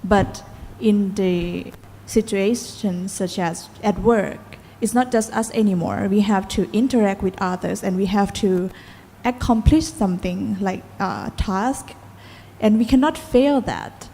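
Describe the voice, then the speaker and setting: A woman's voice: mid-range voice, unhurried, warm tone. Hesitant woman asking a question, hall echo. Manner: hesitant, searching for words. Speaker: audience member asking a question. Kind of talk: question at a Dharma talk. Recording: reverberant hall with faint noise.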